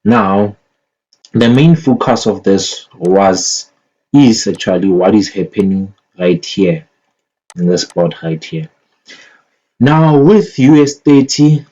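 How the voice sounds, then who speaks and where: A man with a fairly low, mellow voice talks through an analysis in a casual, unscripted way. He takes his time, hesitating and thinking aloud, on a slightly dull, lo-fi recording. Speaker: market analyst presenting chart analysis. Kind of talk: casual unscripted screen-share commentary. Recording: dull lo-fi microphone sound.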